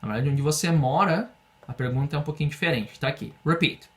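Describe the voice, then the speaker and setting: A man's voice: a little high, a bit bright. Patient Brazilian man teaching English. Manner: patient, explains slowly, drills phrases for repetition. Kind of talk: online language lesson.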